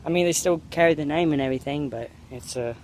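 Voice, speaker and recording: A man's voice: fairly high pitch, quick pace, darkish tone, slight background noise, low-quality recording. A young American man hosting a rambling vlog in a mock British accent, walking outdoors around a school campus and filming on a phone. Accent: American speaker putting on a mock British accent. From United States. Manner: jokey and meandering, full of fillers and self-corrections. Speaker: vlogger host. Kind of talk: rambling walk-and-talk vlog.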